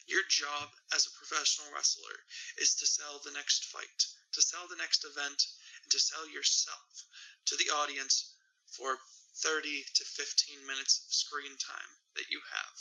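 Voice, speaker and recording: A man reads an explanatory monologue in a slightly high, very bright voice. His pitch barely moves, and he keeps the delivery deliberate and free of emotion. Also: little pitch movement, rough recording. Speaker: guest wrestling fan explaining promos.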